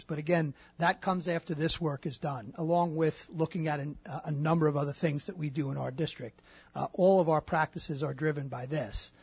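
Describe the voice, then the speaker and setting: A man with a slightly husky, somewhat high voice speaks as a school district official. He answers questions at a public meeting in a measured way, with frequent fillers. The recording is dry, with no room echo.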